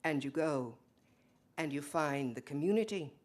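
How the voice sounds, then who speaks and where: A woman performing a solemn Mennonite ancestor in a slow, wistful dramatic stage monologue. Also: deep voice, lively intonation, a little mellow, voice pushed down. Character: Mennonite ancestor grandmother, solemn emigrant recalling a life.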